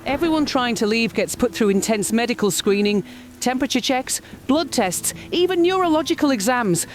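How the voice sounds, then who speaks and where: A woman's voice: on the high side, expressive, normal speed, a little hiss or hum, lightly acted. A woman playing an urgent field correspondent in an audio drama, intense and formal. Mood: urgent, shaken. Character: urgent female field correspondent at a military checkpoint. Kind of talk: scripted on-scene news report.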